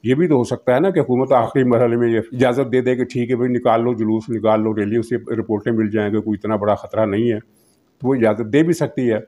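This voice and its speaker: Pakistani guy doing political commentary off the cuff, mid pitched voice, explains stuff and repeats bits for emphasis. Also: fairly even intonation, fairly quick, dark tone. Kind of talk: political commentary, talking unscripted to the audience.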